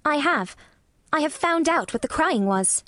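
A woman's voice: sits high, expressive, unhurried, a bit bright, no room sound, studio quality, pitched above the performer's own voice. A woman voicing an earnest, self-assured little girl in an audiobook. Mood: confiding. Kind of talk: audiobook character voice.

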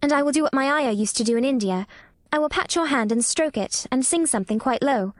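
A woman's voice: high voice, animated, fairly quick, no room sound, studio quality, voice pushed up. A woman voicing an earnest, self-assured little girl in an audiobook. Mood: soothing. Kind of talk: audiobook character voice.

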